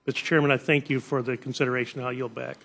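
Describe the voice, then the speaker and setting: A man making formal remarks at a committee hearing, measured in his delivery. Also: mid-range voice, level tone, creaky, a bit bright. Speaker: committee member.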